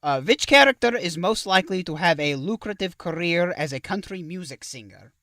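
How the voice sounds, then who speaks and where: A man's voice: sits high, expressive, a little fast, sharp tone, no echo, clean studio sound, heavily acted. A man voicing a courteous vampire count who hosts an interview show, with a put-on Dracula-style accent and a wordy, hesitant way of talking. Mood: courteous, amused. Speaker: podcast host and interviewer. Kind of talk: in-character interview.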